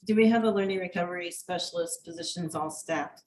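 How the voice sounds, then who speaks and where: Lower-pitched woman questioning, noisy recording. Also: a little fast, darkish tone. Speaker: school board member. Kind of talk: questioning at a public board meeting. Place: school board meeting room. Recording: clearly noisy meeting livestream with clicks.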